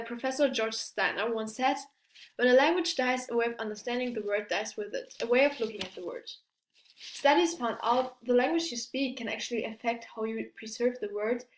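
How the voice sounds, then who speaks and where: Student presenter, young woman, higher voice, halting delivery full of restarts, roomy echo. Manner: hesitant, many restarts and fillers, non-native English phrasing. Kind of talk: class presentation. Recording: echoey room.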